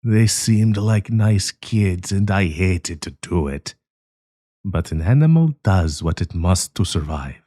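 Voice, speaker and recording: A man playing a sinister, possessive werewolf professor in an intimate audio roleplay, coaxing and menacing, with a husky, breathy voice. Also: deep voice, animated, mellow, no echo, clean studio sound, heavily acted. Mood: menacing, amused. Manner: slow, coaxing, menacing endearments.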